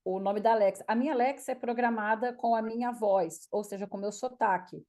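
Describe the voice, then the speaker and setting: Woman hosting a podcast, chatty, lots of questions, fairly high voice. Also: brisk, warm tone. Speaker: podcast host. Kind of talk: casual interview conversation.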